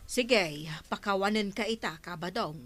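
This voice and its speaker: An actress playing a stern, scolding village councilor threatening a fine, with an Ilocano accent. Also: female voice, mid-range voice, moderate pace, fairly bright tone, no echo, soft background music, close to an everyday voice.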